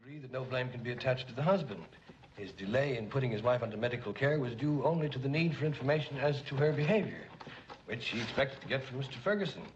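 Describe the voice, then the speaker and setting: Faint noise and a muffled old soundtrack surround an actor as an officious coroner. He is formal and measured, passing judgment sternly in classic 1950s screen style. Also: mid-range voice, animated, close to an everyday voice. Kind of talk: 1950s Hollywood film acting.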